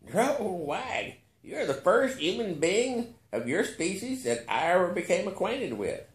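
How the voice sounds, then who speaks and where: A man voicing a wizened old billionaire in a story, slow and deliberate, with naive, smug, matter-of-fact answers. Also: sits high, big pitch swings, reverberant, voice pushed up. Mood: curious, condescending. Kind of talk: storybook character voice in a short story reading.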